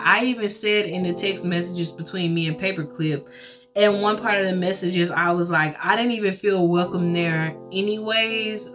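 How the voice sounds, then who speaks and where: A woman with a loud, carrying voice telling a personal faith story in casual, earnest and emphatic talk with rhetorical asides. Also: lowish voice. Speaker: churchgoer sharing a personal testimony. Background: soft guitar music.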